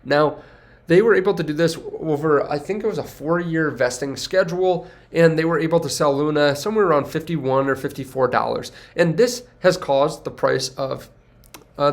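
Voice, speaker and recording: A young man with a congested, stuffy voice, talking casually to camera as a finance video host, rambling and correcting himself as he goes. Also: a little high. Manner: rambling, self-correcting, upbeat. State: congested from a cold.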